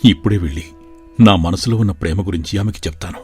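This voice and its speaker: A man voicing an earnest young village man who sincerely declares his love, in an animated story. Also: deep voice, fast, breathy edge, soft background music.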